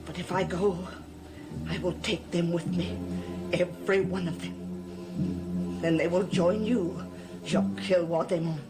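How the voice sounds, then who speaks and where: A woman playing a centuries-old scheming servant of dark powers in a gothic soap opera, pleading and menacing with formal, imperious phrasing. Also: lowish voice, fairly even intonation, a little slow, pauses often, loud music behind the voice, lightly acted.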